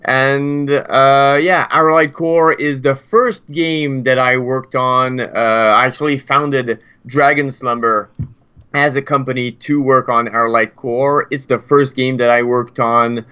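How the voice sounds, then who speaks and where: A man's voice: mid-range voice, steady tone, slow, pushed and bright, clear, resonant tone, dull audio. A man in his thirties with a French Canadian accent, a game developer guest chatting easygoingly and hesitantly, with many fillers. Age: 30s. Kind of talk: casual interview chat.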